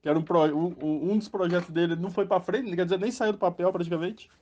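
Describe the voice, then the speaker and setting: A man's voice: high-pitched, fairly even intonation, fast, a little mellow. Rambling Brazilian man, muffled lo-fi sound. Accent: Brazilian Portuguese. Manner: rambling, full of fillers and tag questions. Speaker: podcast host. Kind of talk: casual spoken commentary.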